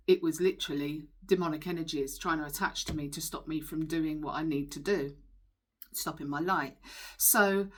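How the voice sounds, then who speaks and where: A woman with a slightly low voice talks informally to her viewers as a tarot reader. She is earnest and reassuring, and leans on her words for emphasis. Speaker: spiritual healer and tarot reader.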